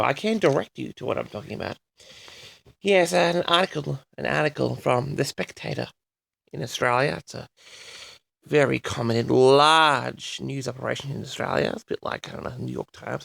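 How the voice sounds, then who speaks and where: A man hosting a personal audio log, rambling solo to his audience in a theatrical manner with drawn-out words. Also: mid-range voice, vocal fry, fairly bright tone, no echo.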